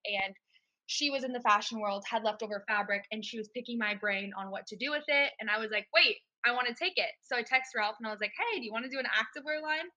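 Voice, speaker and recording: Bright, upbeat woman interview guest. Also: mid-range voice, muffled recording. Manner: upbeat, eager storytelling. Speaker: podcast guest, business co-founder. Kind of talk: casual interview storytelling.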